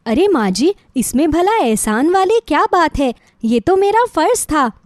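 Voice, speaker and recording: A woman's voice: sits high, sing-song, measured pace, no room sound, clean studio sound, voice pushed up. A woman voicing a kind, cheerful young daughter who sells food, speaking politely and eagerly in a moral story.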